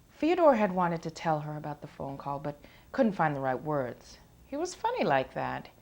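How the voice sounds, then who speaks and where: A woman's voice: low-pitched, vocal fry. Candid girlfriend played by a woman, self-assured, frank, confiding delivery for an interview-style drama. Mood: matter-of-fact. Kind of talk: dramatised documentary interview monologue.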